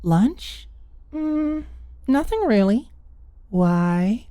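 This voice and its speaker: Woman voicing a proud, prim young woman, higher-pitched. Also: big pitch swings, extremely slow, warm tone, no echo, clean studio sound, exaggerated voice. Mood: sweet, smitten. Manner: scoffing, earnest, giggly on the phone. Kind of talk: audiobook character dialogue.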